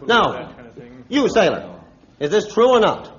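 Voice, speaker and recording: A commanding sea captain in a 1950s radio play. His voice sits fairly high, declamatory and steadfast, on a dull old recording. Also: wildly swooping pitch, takes it slowly, fairly bright tone, exaggerated voice. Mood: firm. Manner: authoritative, declamatory. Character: stern, commanding male ship's captain, steadfast and reasonable.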